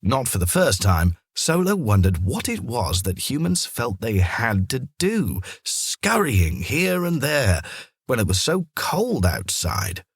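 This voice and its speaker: A man narrating a children's story in an expressive, scripted storytelling style. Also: mid-range voice, slow, slightly rough, dry recording, clean studio sound.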